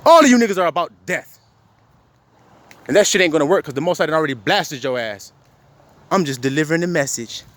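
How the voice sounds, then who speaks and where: A man's voice: a little high, expressive, quick pace, long pauses, dry recording. A man delivering a profane, confrontational rant as a self-styled preacher, quoting scripture and firing rhetorical questions at his listeners. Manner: confrontational, fires rhetorical questions, heavy swearing.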